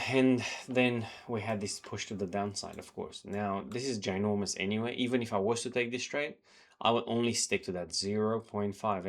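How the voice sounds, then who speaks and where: A man slightly sick, talking casually through his trading journal and charts, rambling with many fillers and restarts. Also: on the low side. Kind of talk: casual talk to camera, reviewing charts. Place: home in New Zealand.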